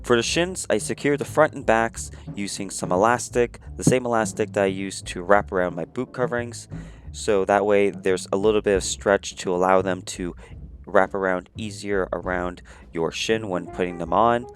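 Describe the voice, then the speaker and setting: A sick man with a raspy, monotone voice giving step-by-step instructions in a voice-over for a craft tutorial. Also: fairly low pitch, normal speed. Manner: plain step-by-step instructions, many "you're gonna". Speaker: cosplay prop maker, channel host. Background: faint music.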